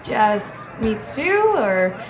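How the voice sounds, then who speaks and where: A woman's voice: mid-range voice, big pitch swings, very slow, soft background music. A woman playing a wary, hesitant student questioning things in an audio drama, with busy cafeteria noise. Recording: noisy, crowded cafeteria ambience.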